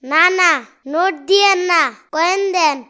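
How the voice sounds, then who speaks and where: Cartoon casting: cheeky, stubborn small boy, Bangladeshi accent, extremely high and sharp voice. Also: unhurried, heavily acted. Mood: insistent. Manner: bold, argumentative, insistent.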